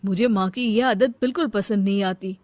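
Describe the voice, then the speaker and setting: A woman's voice: medium pitch, moderate pace, darkish tone, lightly acted. A woman voicing an earnest younger son, fed up with his mother and deferential to his brother. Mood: troubled.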